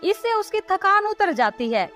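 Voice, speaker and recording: Actress doing a scheming family woman from a cartoon story, high voice, slow dramatic delivery, faint music. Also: very animated, fairly bright tone, heavily acted. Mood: placating.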